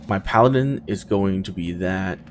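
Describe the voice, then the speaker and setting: A man's voice: low voice, moderate pace, a little hiss or hum. A man giving casual gameplay commentary, thinking aloud in a hesitant, musing way and often trailing off between thoughts. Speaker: video game streamer.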